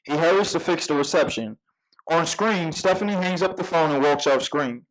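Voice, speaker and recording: Higher-pitched man narrating screenplay directions. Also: expressive, normal speed, rough recording. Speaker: narrator reading stage directions. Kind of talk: scripted narration at a live table read.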